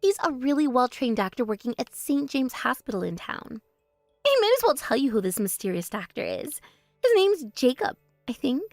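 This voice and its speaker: A bratty younger sister who brags and sneers, her high voice leaping all over the place, as a woman acts her out. The recording is dry and close, with no room sound. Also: big pitch swings, normal speed, no echo, soft background music. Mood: smug. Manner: mocking, spiteful bragging. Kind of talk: voice drama, one performer.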